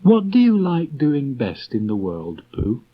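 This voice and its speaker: A man voicing a kindly, earnest young boy in a storybook, speaking gently and simply. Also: mid-range voice, wildly swooping pitch, measured pace, breathy edge, pitched above the performer's own voice, heavily acted. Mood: gentle, earnest. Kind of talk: storybook character reading. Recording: dull restored cassette tape.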